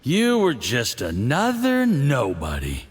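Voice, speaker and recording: A man's voice: medium pitch, wildly swooping pitch, takes it slowly, big-room sound, a little hiss or hum. An actor playing a smug, patronizing envoy who loves his liquor in a video game. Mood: smug, tipsy. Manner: drawling, patronizing.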